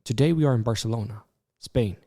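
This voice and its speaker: Male podcast host, kinda husky, warm dark tone, reads his intro slow and measured. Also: mid-range voice. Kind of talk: scripted intro, then interview questions.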